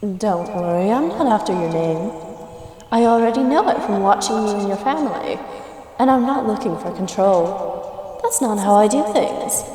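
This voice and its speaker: A woman's voice: mid-range voice, lively intonation, moderate pace, mellow, natural voice. Woman acting a coy leprechaun in echoing reverb. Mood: reassuring, secretive. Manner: coy, playful, old-fashioned formal wording. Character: shy, flirtatious, mischievous female leprechaun of the woods. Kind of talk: scripted roleplay audio addressed to the listener. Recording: roomy long reverb, faint noise. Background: outdoor nature sound effects.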